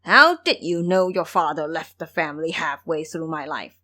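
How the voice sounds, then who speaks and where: A woman's voice: low voice, normal speed, pushed and bright, voice pushed down. A woman voicing a foolish male pig-demon monk in an audio drama, blustering and boastful, pleading when scared. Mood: boastful taunting.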